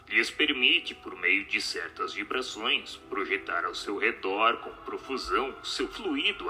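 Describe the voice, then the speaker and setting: A man reading scripted narration aloud in a formal, measured, didactic manner. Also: lowish voice, pushed and bright. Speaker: narrator of an audio book adaptation.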